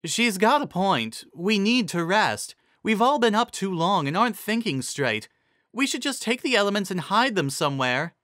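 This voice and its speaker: Bright, high, perky pony mare voiced by a man. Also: very animated, moderate pace, no room sound, clean studio sound. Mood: decisive. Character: Cheerilee, perky sensible female pony, takes charge.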